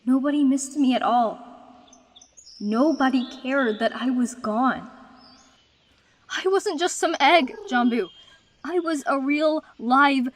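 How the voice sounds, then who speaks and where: A woman's voice: sits high, takes it slowly, pauses often. A woman playing a proud, wounded young female dragon in a fantasy dub, dry, guarded and sarcastic. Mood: bitter. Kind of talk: dubbed fantasy drama acting.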